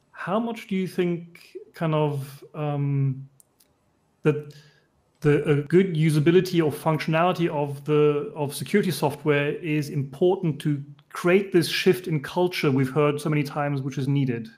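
A man moderating a panel discussion over a video call, with halting, hesitant phrasing. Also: fairly high pitch, a little mellow. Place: indoors, online video call.

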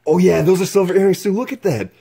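Excitable young man vlogging a find. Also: high-pitched, quick pace, creaky, husky. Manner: excitable, exclaiming over finds. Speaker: exploration vlogger. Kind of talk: excited vlog narration.

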